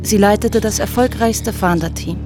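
A woman narrating an audio drama from a script in a composed, measured way. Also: mid-range voice, music in the background.

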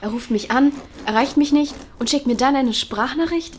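Young grieving daughter, played by an actress, slightly high voice, insistent, colloquial radio-play delivery over clear background noise. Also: female voice, moderate pace, close to an everyday voice. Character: grieving, searching young daughter with exceptional hearing. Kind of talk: naturalistic audio drama dialogue.

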